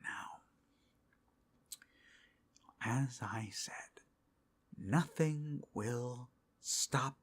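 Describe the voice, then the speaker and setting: A creepy, obsessively lovestruck kidnapper in a roleplay audio drama. The voice is breathy and husky, often sinking into whispers, and drags very slowly with theatrical, intimate menace. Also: male voice, medium pitch. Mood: seductive, menacing. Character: possessive creepy yandere kidnapper, obsessively lovestruck, easily outraged.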